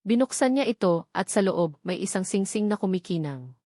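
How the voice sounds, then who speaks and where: A woman's voice: mid-range voice, animated, moderate pace, mellow, no room sound, clean studio sound. A woman with a Filipino accent reads a scripted romance story as a dramatic narrator.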